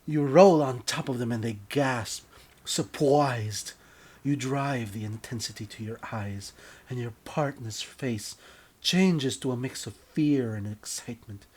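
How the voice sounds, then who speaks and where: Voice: medium pitch, a little slow, darkish tone, husky. A narrator reading their own comic story in a mock-serious, theatrical, scripted style.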